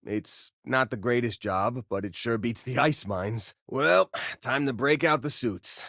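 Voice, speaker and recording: A man's voice: mid-range voice, unhurried, a bit bright, no room sound, studio quality. An actor playing an easygoing, joking young male space miner in a video game, talking in casual, wisecracking slang. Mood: laid-back. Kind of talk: video game voice lines, conversational.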